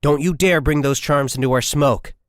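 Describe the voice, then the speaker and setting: Man putting on a high, barking female corporal. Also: moderate pace, dry recording, studio quality, natural voice. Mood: furious. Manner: barking, shouting orders. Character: tough, fierce female corporal, ambitious soldier.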